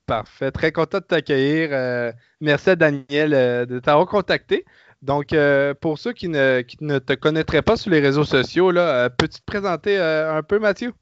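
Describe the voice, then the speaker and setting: A man hosts a podcast in a smooth, resonant voice, steering the talk with many hesitations. His sound is dry and close, with a dull, lo-fi quality. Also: on the high side, normal speed, no echo. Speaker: podcast host. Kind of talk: casual chat.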